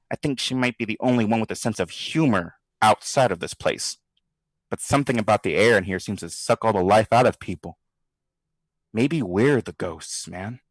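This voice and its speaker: Guy acting brash sarcastic skeptic dude, very lively pitch, slangy, dry sound, audio drama. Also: dry recording, natural voice, rough recording. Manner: sardonic, slangy, theatrical. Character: brash wisecracking skeptical man, boozy ghost-study participant. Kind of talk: audio drama, recorded diary monologue.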